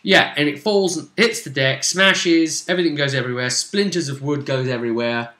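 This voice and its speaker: A man with a bright, forceful, slightly high voice recaps a tabletop role-play session as game master. He tells it dramatically, in a room with clear echo. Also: brisk. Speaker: game master and show host.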